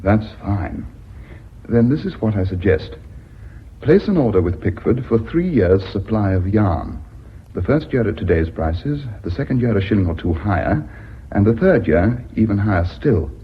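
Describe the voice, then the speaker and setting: An actor playing a shrewd, assertive businessman in a radio drama, with a husky voice. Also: on the low side, very animated, normal speed, exaggerated voice. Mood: calm, methodical. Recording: muffled old radio drama recording.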